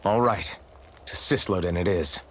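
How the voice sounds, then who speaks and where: A man voicing an earnest, steady young swordsman hero in a video game. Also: low-pitched, normal speed. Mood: earnest, resolute.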